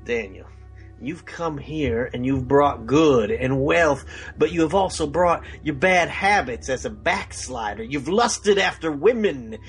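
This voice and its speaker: A man's voice: fairly high pitch, lively intonation, a little slow, soft background music. A man playing a fervent, domineering preacher in a parody sketch, taunting and demanding confession in a theatrical way. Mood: sternly righteous. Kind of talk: movie-parody sketch acting.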